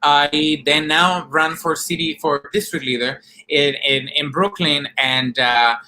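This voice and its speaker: Bright, forceful man. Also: fairly high pitch, fairly even intonation, slow, poor audio. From Puerto Rico. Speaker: documentary director and activist guest. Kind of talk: earnest interview answers.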